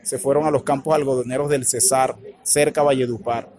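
A man with a Colombian Caribbean accent, answering interview questions in a halting, thoughtful way with many filler words. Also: mid-range voice, steady tone, a crowd in the background. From Colombia's Caribbean region. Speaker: author and academic guest. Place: radio booth at a book fair stand.

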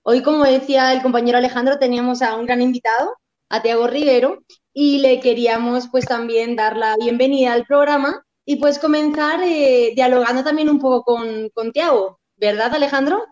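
A female radio co-host with a fairly high voice speaks in a polite, measured way. Her audio sounds muffled and lo-fi. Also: steady tone, a little mellow. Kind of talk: casual radio talk.

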